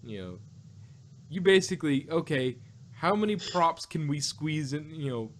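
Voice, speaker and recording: Man chatting casually, halting delivery. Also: a little high, animated, a crowd in the background. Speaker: podcast co-host reviewing audio dramas. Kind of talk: casual chat.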